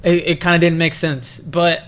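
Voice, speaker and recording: A man hosting a casual film discussion in an excitable, stammering way, often restarting his phrases. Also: fairly high pitch, normal speed. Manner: excitable, stammering with repeated starts. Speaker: host of a film review discussion. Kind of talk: casual chat.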